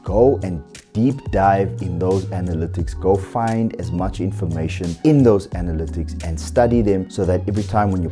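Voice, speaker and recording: A South African man talking through his channel mistakes in a measured, earnest, fairly monotone way, with small restarts. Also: low voice. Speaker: YouTuber. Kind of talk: reflective vlog talking to camera.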